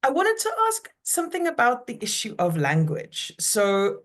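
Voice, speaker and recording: A woman moderating an academic panel over a video call, asking long, measured questions. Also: on the high side, wildly swooping pitch.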